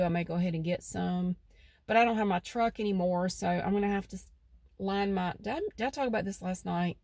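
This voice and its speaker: A woman's voice: medium pitch, darkish tone, no room sound, clean studio sound. A middle-aged woman with a central North Carolina accent, rambling chattily in a folksy vlog, her nose a bit stuffy. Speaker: vlogger. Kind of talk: casual vlog chat. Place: outdoors by her house.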